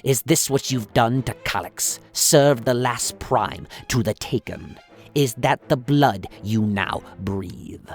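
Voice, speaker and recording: A man performs as an alien prison warden in a sci-fi radio play. He is righteous and accusing, seething through very drawn-out, slow words over background music. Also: mid-range voice.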